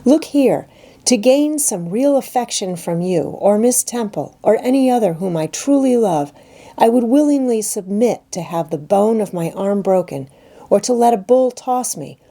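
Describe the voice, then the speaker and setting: A woman's voice: medium pitch, expressive, normal speed, gravelly, dark tone, pitched above the performer's own voice. A woman voicing a passionate, despairing orphan schoolgirl in a storybook reading, impassioned and pleading. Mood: desperate, pleading. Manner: impassioned, pleading, self-pitying. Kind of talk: dramatised audiobook reading.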